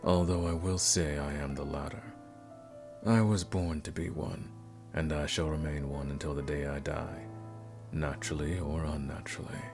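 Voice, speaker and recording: A man playing a suave, courteous vampire nobleman in a scripted ASMR roleplay, speaking formally and softly in a gravelly voice. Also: fairly even intonation, normal speed. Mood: sombre, measured. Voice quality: very deep, gravelly. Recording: music playing behind the voice.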